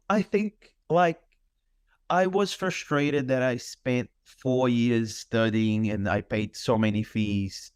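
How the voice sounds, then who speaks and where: A man's voice: medium pitch, clear, resonant tone, no room sound, studio quality. A man in his thirties from Brazil, a Portuguese speaker, chatting in an interview as a fitness instructor, thoughtful and full of fillers. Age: 30s. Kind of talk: casual interview chat.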